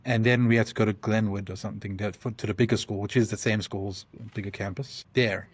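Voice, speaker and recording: A man's voice: fairly low pitch, steady tone, husky, no echo. South African man, dry close sound. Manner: thoughtful, speaks in halting restarts with many ums. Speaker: podcast guest. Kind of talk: conversational interview.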